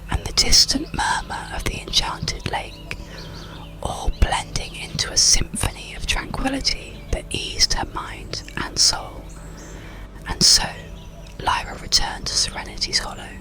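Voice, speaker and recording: A storyteller narrating a bedtime tale in a soothing, deliberate, breathy whisper, in ASMR style. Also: medium pitch. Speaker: audiobook storyteller.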